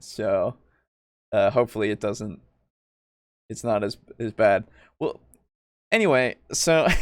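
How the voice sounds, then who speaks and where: A man hosting a casual tabletop gaming livestream, talking in a halting way with jokey, self-deprecating asides. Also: medium pitch, no echo, clean studio sound. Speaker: host of a tabletop roleplaying livestream.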